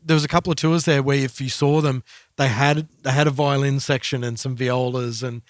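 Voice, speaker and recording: A man in a casual music discussion as a podcast co-host, speaking in a measured, hesitant, thoughtful way. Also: medium pitch, fairly even intonation, no echo, clean studio sound.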